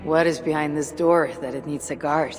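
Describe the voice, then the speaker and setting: An actor playing a determined, guarded Viking raider in a video game. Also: male voice, a little high, fairly even intonation, normal speed, lightly acted. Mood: blunt, guarded. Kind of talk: video game cutscene acting. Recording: loud music over the voice.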